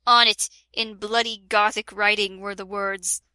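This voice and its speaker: Young woman, comedic reader, mock-dramatic, unhurried, bright and high. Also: flat delivery, clean tone, dry recording. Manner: giggly, breaks into laughter mid-sentence; mock-dramatic, interrupts herself with asides. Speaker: reader of a parody fanfic.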